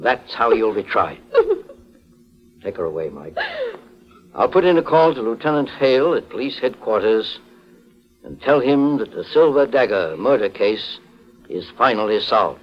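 An actor playing a stern, resolute detective in a 1940s radio drama. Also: male voice, fairly low pitch, lively intonation, unhurried, long pauses. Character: stern, resolute male detective closing a murder case. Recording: muffled old-time radio recording.

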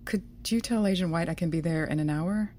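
A woman's voice: lowish voice, a little fast, noisy background, close to an everyday voice. A woman playing a terse, authoritative, suspicious federal agent in an audio drama. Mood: terse, businesslike. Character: hard-nosed, suspicious female federal agent.

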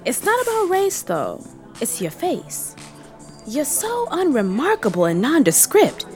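A woman's voice: high-pitched, huge pitch swings, measured pace, faint music behind, heavily acted. A woman acting a brash, cheeky sneak thief in an audio comedy drama, with glib, teasing, slangy patter. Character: brash, cheeky sneak thief named Simon, sociable hustler.

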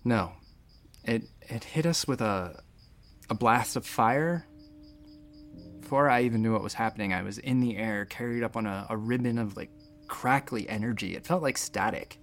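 A man's voice: medium pitch, moderate pace, natural voice. A man playing an anxious, wry space station doctor in a sci-fi audio drama, with a stammering, nervy manner. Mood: wry, reflective.

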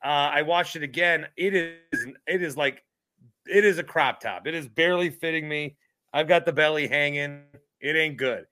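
A man's voice: a little high, normal speed, sharp tone. A man hosting a casual sports podcast stream, joking and chatty, poking fun at himself. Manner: joking, self-deprecating, chatty. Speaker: podcast host.

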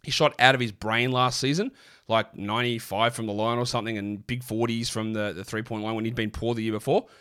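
A man, sick and croaky, hosting a casual basketball podcast with lots of rambling restarts. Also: mid-range voice, steady tone, a little fast, fairly bright tone. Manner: rambling, chatty, many fillers and restarts. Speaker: fantasy basketball podcast host. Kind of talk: casual solo podcast talk.

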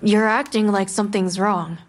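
A woman's voice: medium pitch, fairly even intonation, moderate pace, natural voice. A woman playing a concerned young person at home with a detective parent in an audio drama. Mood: gentle concern.

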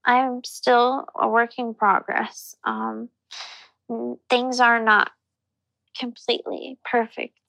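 A thirty-year-old woman telling her story in a hesitant, halting way. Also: fairly high pitch, flat delivery, creaky, pushed and bright, dry recording, low-quality recording. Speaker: interviewee.

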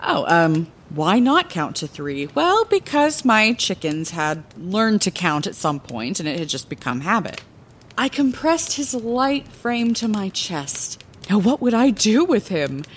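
Lively, unhurried storytelling lighthouse keeper woman. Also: medium pitch, a little hiss or hum. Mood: earnest, playful. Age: middle-aged. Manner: theatrical, wordy, chatty asides. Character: woman lighthouse keeper, fond, playful storyteller recalling her youth. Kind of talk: fantasy audio drama bedtime-story narration.

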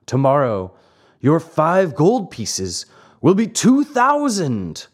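A man's voice: fairly high pitch, wildly swooping pitch, slow pace, voice pushed up, heavily acted. A man doing a sly, coaxing fox. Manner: coaxing, persuasive. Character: sly, smooth-talking male fox.

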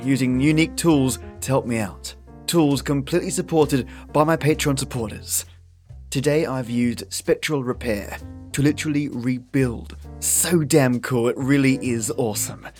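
A man's voice: mid-range voice, normal speed, a little mellow. A man hosting a podcast, giving a casual, scripted introduction in an enthusiastic, chatty manner. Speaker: podcast host. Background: background guitar music.

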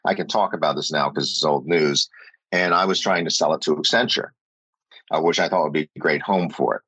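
A man's voice: rumbling low, brisk, sharp tone, breathy edge, dry recording, poor audio. A middle-aged man reflecting in an interview, talking thoughtfully with many fillers and restarts. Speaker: guest, former company chief and novelist.

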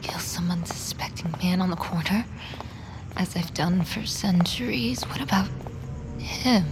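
An actress playing a centuries-old starving vampire woman in an audio drama, anguished and halting, guilt-ridden and desperate. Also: fairly low pitch, background noise. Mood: tormented, tempted. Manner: halting, anguished, breaking into whispers. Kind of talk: intimate audio-drama acting with breathy asides.

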